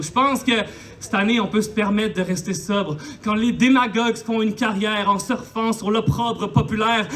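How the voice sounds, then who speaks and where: A man's voice: extremely high pitch, background noise. A man with a Quebec French accent reciting impassioned spoken-word poetry in a colloquial, declamatory way at a public celebration. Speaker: poet. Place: outdoors in a park.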